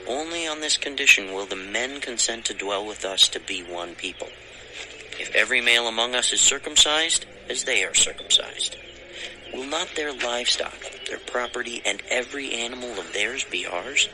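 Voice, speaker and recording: An actor playing an eager, lovestruck young prince in an audio Bible drama, earnest and entreating. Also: male voice, mid-range voice, animated, normal speed, background noise, natural voice. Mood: eager persuading.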